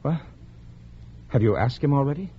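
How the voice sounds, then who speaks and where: A man's voice: medium pitch, animated, moderate pace, breathy edge. A man playing a lovesick, desperate lover with an intense, ardent delivery in 1950s radio melodrama style. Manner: ardent, intense, repeats words for emphasis. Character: lovesick, desperate male lover, easily led to murder. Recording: dull, muffled vintage radio recording.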